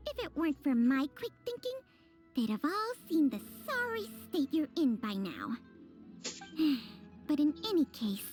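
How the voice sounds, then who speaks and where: Bossy, high-voiced talking snake. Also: female voice, darkish tone. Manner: haughty, scolding. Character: sharp-tongued, bossy female talking snake, caring underneath. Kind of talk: video game voice acting. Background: game music.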